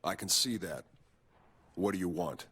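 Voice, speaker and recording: A cold, terse wrestler with a husky voice. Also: male voice, sits low, a little slow, a bit bright, full character voice. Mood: curt.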